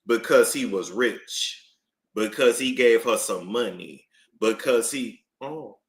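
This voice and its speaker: A man giving casual live-stream commentary, emphatic and animated, repeating phrases for effect. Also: mid-range voice, slow. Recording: roomy echo.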